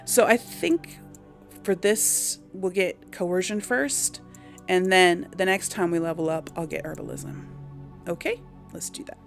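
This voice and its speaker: A woman's voice: lowish voice, a little slow, gravelly, soft background music. A woman giving casual, unscripted video game commentary, thinking aloud and explaining things to viewers in a chatty way. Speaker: video game let's-play host.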